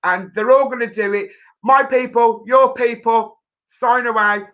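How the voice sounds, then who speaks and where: A woman's voice: on the high side, fairly even intonation, a little slow, muffled recording. A woman hosting a casual live chat show, with a playful, bantering and teasing manner. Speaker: talk show host.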